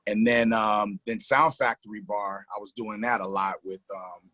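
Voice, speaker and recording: A man speaks in a fairly low, raspy voice. He reminisces in loose, colloquial language, circling back over phrases. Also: fairly even intonation, moderate pace. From New Jersey. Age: middle-aged. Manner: colloquial, repeats phrases, gives shout-outs. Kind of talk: casual reminiscing.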